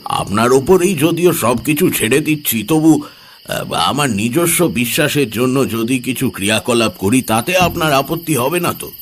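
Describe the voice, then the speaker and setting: A man playing a troubled, courteous middle-aged gentleman in an audio horror story, speaking hesitantly. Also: medium pitch, expressive, moderate pace, big-room sound, a little hiss or hum, natural voice. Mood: hesitant, polite. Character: troubled gentleman householder, father, polite and uneasy. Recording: music bed behind the voice.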